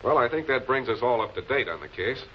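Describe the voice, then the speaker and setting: A man playing a composed, probing public prosecutor in 1940s television crime drama style, measured and politely pressing. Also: mid-range voice, expressive, natural voice. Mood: measured, explanatory. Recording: muffled, noisy old film soundtrack.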